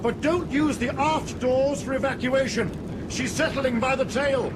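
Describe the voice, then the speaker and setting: Airline captain in crisis: high, urgent pitch, brisk authority, 1970s radio play, heavy rumble behind. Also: male voice. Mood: firm, urgent. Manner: authoritative, brisk, clipped orders. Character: commanding airline captain in an emergency ditching. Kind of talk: 1970s British radio drama acting. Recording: radio play under loud engine rumble.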